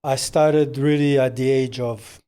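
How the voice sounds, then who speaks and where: An older man from Morocco whose first language is French, telling his life story slowly as a podcast guest, with hesitant pauses and fillers. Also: mid-range voice, fairly even intonation, mellow, clean tone. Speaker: podcast guest, business owner. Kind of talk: relaxed interview storytelling.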